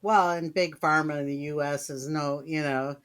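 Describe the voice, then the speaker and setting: A woman's voice: low voice, steady tone, moderate pace, fairly bright tone, clear, resonant tone. A woman from Puerto Rico co-hosting a podcast in casual chat, conversational and heartfelt, often saying "you know.". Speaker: podcast co-host.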